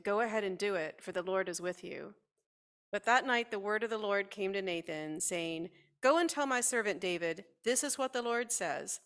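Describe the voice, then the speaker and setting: A middle-aged woman reads a scripture passage aloud at a pulpit in a large church hall, in a measured, even way. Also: mid-range voice. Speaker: scripture reader.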